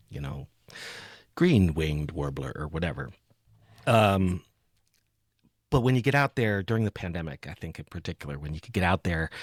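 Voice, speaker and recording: Low-voiced man hosting a chatty podcast. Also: dry recording, studio quality. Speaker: podcast host. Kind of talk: casual, chatty interview talk.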